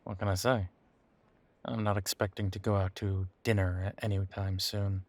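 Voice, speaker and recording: A man playing a weary, wry werewolf boyfriend in an intimate audio roleplay, talking casually with hesitant, trailing pauses. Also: low voice, little pitch movement, a little mellow, lightly acted. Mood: reluctant, dry. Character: weary, wry male werewolf boyfriend estranged from his family. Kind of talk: intimate roleplay audio drama, conversational monologue.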